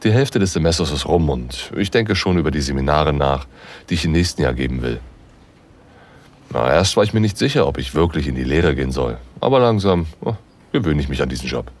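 Man acting a very deep-voiced seductive professor. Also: lively intonation, a little fast, a little mellow. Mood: lecturing, relaxed. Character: male university professor, seductive, commanding, secretly smitten. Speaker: narrator and lead actor. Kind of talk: intimate first-person erotic audio drama narration.

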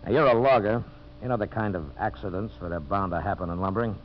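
An actor playing a smooth, calculating businessman villain in a 1950s radio drama, with an insinuating manner. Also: fairly low pitch, fast talker, natural voice. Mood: sly, conspiratorial. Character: scheming, smooth businessman villain plotting sabotage. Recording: muffled, hissy old radio recording with hum.

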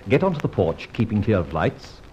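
An Australian actor playing a suave, playful gentleman thief in a 1950s radio drama, brisk and theatrical. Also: on the low side, sing-song. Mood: scheming, playful. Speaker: lead character. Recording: dull old radio recording, faint hiss.

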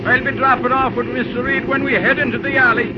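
An actor playing a hearty, blustery Irish railroad engineer with a folksy brogue in an old-time radio drama. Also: male voice, extremely high pitch, flat delivery, fast, over a noisy crowd. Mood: bossy. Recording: noisy muffled old radio recording.

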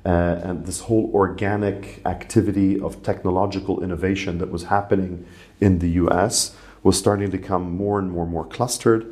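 A man's voice: low-pitched, fairly even intonation, fairly quick, a little mellow. A middle-aged man who grew up in Saudi Arabia, telling his story as an interview guest, with many fillers and restarts. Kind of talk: conversational interview.

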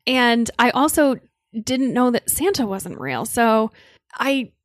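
Young woman podcast host rambling casually, kinda high voice, dry close mic. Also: big pitch swings, slow pace, no echo. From Connecticut, United States. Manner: chatty, rambling with frequent "like". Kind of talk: casual chat.

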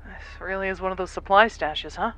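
A capable woman rescuer in a space adventure voiced by an actress, brusque and sarcastic. Her voice is bright and tense at a medium pitch, with the exasperated bluntness of audio-drama acting. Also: fairly quick, natural voice. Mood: dry, fond.

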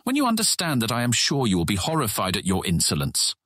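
A stern older guild deputy in a fantasy audio drama. The voice is low and husky, formal and condescending, with the weight of command. It sounds close and dry. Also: male voice, huge pitch swings, quick pace, a bit bright, dry recording, exaggerated voice. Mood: cold, indignant. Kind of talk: full-cast fantasy audiobook acting.

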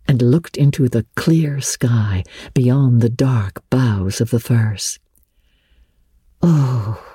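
A woman narrating classic literature as a dramatic storybook reading, measured and expressive, lingering on phrases. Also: very deep voice, breathy edge, no echo, studio quality.

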